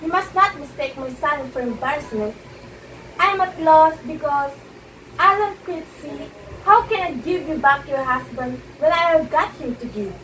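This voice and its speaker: A woman's voice: sits high, expressive, moderate pace, sharp tone. Proud, condescending stage diva, played by a woman, formal amateur theatre delivery, distant mic, noisy recording. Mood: cool, composed. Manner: theatrical, haughty, formal diction. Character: proud, poised glamorous stage actress, amused and condescending. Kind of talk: amateur one-act stage play dialogue. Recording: echoey room, distant mic, clear noise.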